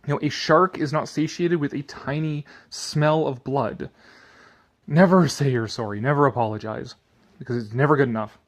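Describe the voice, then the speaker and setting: A man's voice: medium pitch, moderate pace. A man giving a sardonic commentary and theatrical reading of an article, drifting into rambling asides.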